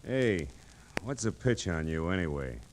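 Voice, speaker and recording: A big, surly crime boss in a 1940s radio drama. His voice is deep, his delivery curt and guarded with a threatening edge. A faint layer of old recording noise sits on it. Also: animated, unhurried. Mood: irritated, guarded. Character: big, surly middle-aged male racket boss.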